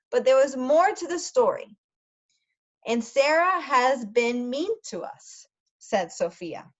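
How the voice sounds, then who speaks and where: Slow-reading woman teacher, higher voice, dull audio. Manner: careful, explanatory, pausing to gloss words. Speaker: teacher reading aloud to English learners. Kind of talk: read-aloud storytelling with brief translations. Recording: dull lo-fi sound.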